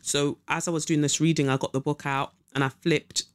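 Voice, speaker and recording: A woman chatting through her podcast in an easygoing, meandering way. She drifts between thoughts, swears casually and fills pauses with ums. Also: low-pitched, level tone. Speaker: podcast host. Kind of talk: casual spiritual podcast chat.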